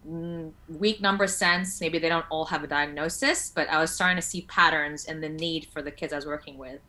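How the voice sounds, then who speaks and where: A woman's voice: low voice, bright, forceful tone. A woman from Hong Kong, a learning support teacher, answering interview questions in a friendly, conversational way.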